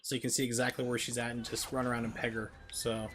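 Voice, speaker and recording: A man's voice: medium pitch, little pitch movement, quick pace, a bit bright, faint music behind. A man streaming a video game with casual, chatty live commentary, explaining mechanics in asides.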